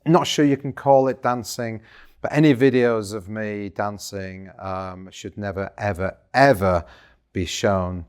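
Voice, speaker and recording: A man with a croaky voice, chatting through a shop's business update in a self-deprecating way. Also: on the low side, steady tone, a little slow, a little mellow. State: croaky voice after a party. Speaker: shop owner hosting a business update show. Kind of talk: casual business update to camera.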